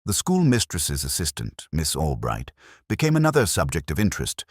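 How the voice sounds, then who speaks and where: Very deep-voiced man narrating a mystery. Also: wildly swooping pitch, slightly rough, dry recording, studio quality. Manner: measured, formal storytelling. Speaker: audiobook narrator. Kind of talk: scripted mystery story narration.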